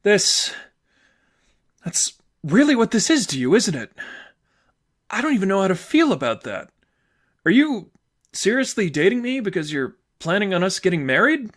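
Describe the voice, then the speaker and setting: A man's voice: high-pitched, very animated, normal speed, pauses often, fairly bright tone, pitched above the performer's own voice, heavily acted. A man voicing an upset teenage girlfriend, wounded and bitter, with emphatic, sarcastic delivery in a fan fiction audiobook. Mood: hurt, incredulous.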